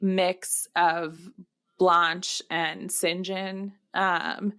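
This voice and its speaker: A woman's voice: on the low side, flat delivery, drawn-out words, sharp tone. Woman podcast co-host chatting casually. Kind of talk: casual chat.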